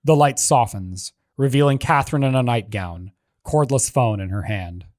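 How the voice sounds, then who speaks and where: A man narrating the scene descriptions of a screenplay in a measured, even reading. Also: mid-range voice, no echo, clean studio sound. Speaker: narrator reading stage directions.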